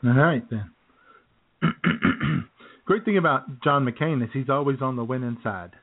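A man's voice: medium pitch, a little slow. Laid-back male co-host, tinny sound. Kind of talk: casual radio banter. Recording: tinny phone-like audio.

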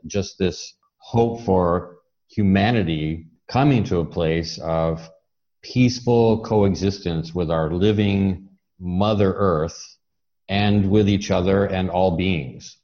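Man, very low voice, interview host, thoughtful long-winded questioning, conversational, muffled lo-fi recording. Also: mellow. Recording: dull, muffled lo-fi audio.

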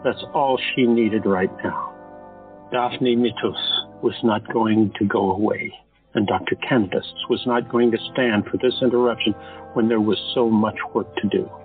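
A man narrating an audio drama in a measured, steady way, on a lo-fi recording with music. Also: lowish voice. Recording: lo-fi, phone-like, with music.

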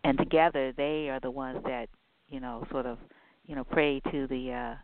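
Low-voiced woman host over a phone line. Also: steady tone, moderate pace, no room sound. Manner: chatty, hesitant with many fillers. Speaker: radio show host. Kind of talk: casual interview conversation.

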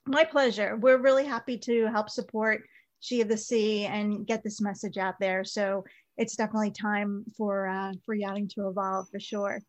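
A woman hosting a podcast interview, reading a measured scripted introduction and then asking questions. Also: mid-range voice, level tone, muffled recording. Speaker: podcast host and editor.

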